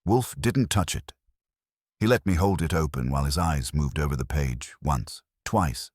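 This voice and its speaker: Extremely deep-voiced man narrating detective fiction. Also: expressive, moderate pace, a little mellow, breathy edge, dry recording, studio quality. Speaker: audiobook narrator. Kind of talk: scripted first-person hardboiled detective narration.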